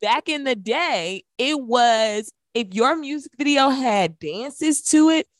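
An American woman, roughly in her thirties, co-hosting a radio show with casual talk about music. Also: sits high, lively intonation, slow pace, no room sound, studio quality.

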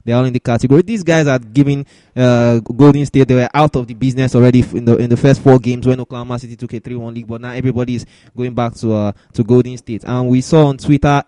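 A man's voice: mid-range voice, dark tone, no echo. A man hosting a sports podcast, talking through news and results as commentary. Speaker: podcast host.

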